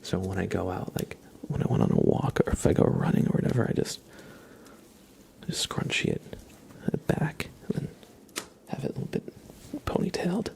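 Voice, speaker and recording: A young man talks casually to viewers in a soft ASMR style. His delivery is relaxed and halting, and his voice often drops to a whisper. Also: deep voice, vocal fry. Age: young adult. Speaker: ASMR livestreamer chatting with viewers.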